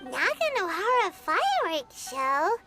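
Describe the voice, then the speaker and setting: A woman voicing a tiny, childlike, excitable fairy companion in a video game, given to exclaiming. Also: extremely high pitch, a little slow, music in the background, heavily acted. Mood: curious. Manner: speaks of self in third person, exclaiming.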